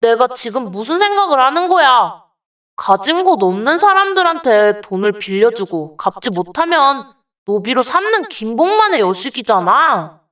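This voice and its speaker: A woman's voice: on the high side, sing-song, normal speed, a bit bright, recorded from a distance. A woman voicing a young male bonded servant in a folktale, wary and timid but given to grumbling. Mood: suspicious musing. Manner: deferential polite speech, wary. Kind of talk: dramatized folktale dialogue.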